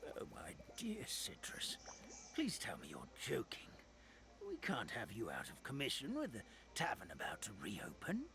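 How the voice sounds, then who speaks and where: A man's voice: mid-range voice, wildly swooping pitch, moderate pace, gravelly. An actor playing a fretful, kindly elderly male creature who runs a tavern in a game, with theatrical exclamations. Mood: worried. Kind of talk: game character dialogue.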